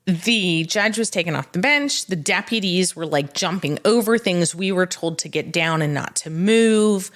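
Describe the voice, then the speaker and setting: A woman's voice: fairly low pitch, moderate pace, few pauses. A woman co-hosting a podcast, telling stories off the cuff in a dramatic, conversational way. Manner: animated, dramatic retelling with acted quotes. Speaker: podcast host. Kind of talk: unscripted conversational storytelling.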